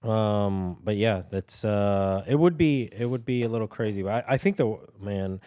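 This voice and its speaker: A young man co-hosting a podcast, joking and rambling in casual chat with quick laughs. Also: fairly low pitch, dark tone, clean tone, dry recording, clean studio sound. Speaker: podcast co-host. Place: home studio with dogs.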